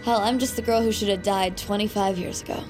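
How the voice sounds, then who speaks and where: A woman's voice: medium pitch, steady tone, quick pace, music in the background, close to an everyday voice. An actress playing a hurt, fed-up woman bitterly confronting her friend in a naturalistic audio drama. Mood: resigned, final.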